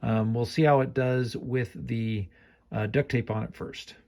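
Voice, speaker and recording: Male DIY host, mid-pitched, casual improvised explainer with halting delivery, some noise behind him. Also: steady tone, creaky, darkish tone. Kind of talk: casual hands-on project narration.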